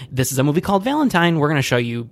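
A man's voice: on the high side, very animated, rapid-fire, creaky. A man co-hosting a podcast, chatting casually about a movie, with lots of fillers and frequent laughs. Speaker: podcast co-host. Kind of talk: casual chat reviewing a movie.

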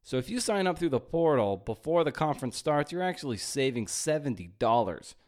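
A man's voice: fairly high pitch, big pitch swings, a little fast. A man hosting a podcast, speaking in a punchy, emphatic way with playful exclamations. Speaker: podcast host. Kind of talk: podcast intro and interviewing.

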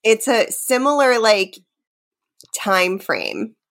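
A woman's voice: fairly high pitch, wildly swooping pitch, takes it slowly, a bit bright. A woman hosting a podcast guessing game in casual banter, with a playful, teasing manner.

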